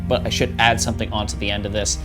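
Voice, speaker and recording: A man's voice: lowish voice, little pitch movement, fast talker. A man hosting an explainer commentary, talking informally and with emphasis. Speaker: host of a tabletop game advice channel. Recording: faint guitar music behind the voice. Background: faint plucked guitar music.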